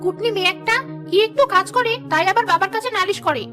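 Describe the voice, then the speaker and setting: A woman voicing a harsh middle-aged stepmother in a cartoon, sharp and sarcastic. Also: extremely high pitch, fast talker, soft background music. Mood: scolding. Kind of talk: cartoon dubbing.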